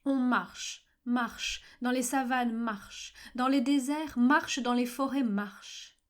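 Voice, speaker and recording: A woman reciting literary poetry in measured, fragmented phrases with frequent pauses, at times breathy and near-whispered. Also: on the high side. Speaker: poetry reader. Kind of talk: slow literary poetry recitation for a video poem.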